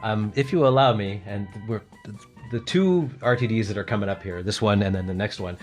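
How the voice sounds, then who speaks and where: A man with a fairly low voice talks quickly as a podcast panelist. His chat is casual and opinionated, with faint music underneath.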